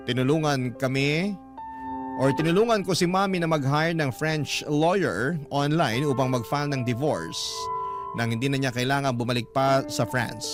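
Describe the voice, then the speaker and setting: A man with a Filipino accent narrating a heartfelt letter dramatically as a radio storyteller. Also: medium pitch.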